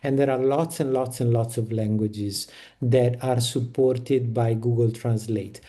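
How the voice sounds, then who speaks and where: Guy on a video call, warm low-key tone, explains step by step, restarts a lot. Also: steady tone, measured pace. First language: Italian. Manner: practical, explanatory, frequent restarts. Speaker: panelist on community toolkit. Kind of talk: online meeting talk.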